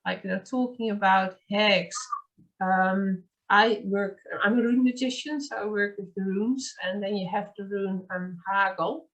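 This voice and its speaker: A woman's voice: medium pitch, measured pace, fairly bright tone, lo-fi sound. A woman with a Dutch accent, a native Dutch speaker, hosting a casual conversation in an eager, chatty way with many fillers. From Netherlands. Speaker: host. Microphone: video call.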